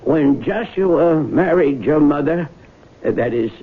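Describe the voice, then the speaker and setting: A man acting a fussy, formal country lawyer in a 1970s radio drama, husky and apologetic. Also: fairly high pitch, lively intonation, takes it slowly, exaggerated voice. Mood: flustered. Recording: thin phone-like old radio broadcast.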